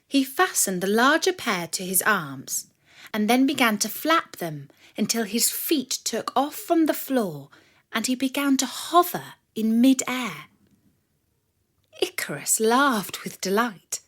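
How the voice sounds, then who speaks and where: A woman reading a scripted story for children in a measured, expressive storyteller's manner. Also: on the high side. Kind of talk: scripted narration of a myth for children.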